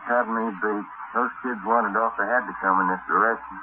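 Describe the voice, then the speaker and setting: Man acting American detective partner, tired, terse, lowish voice, tinny vintage radio drama. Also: steady tone, brisk, close to an everyday voice. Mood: tired, puzzled. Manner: plain, terse. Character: plainspoken, tired male police detective partner. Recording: tinny, very noisy old radio recording.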